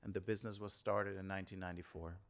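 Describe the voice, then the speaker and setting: Low-voiced German-born man, measured. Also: level tone. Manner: measured, with frequent "uh" fillers. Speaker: tour guide, guest. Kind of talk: interview answers. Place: indoor shop or venue.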